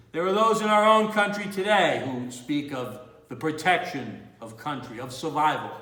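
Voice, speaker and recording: A man's voice: a little high, a bit bright. Guy doing a stern moral judge, stage courtroom speech, solemn and weighty delivery, lots of room echo. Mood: resolute, impassioned. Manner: solemn, measured, emphatic oratory. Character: grave, morally resolute male judge delivering a verdict. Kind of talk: theatrical courtroom monologue. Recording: roomy, echoey indoor room.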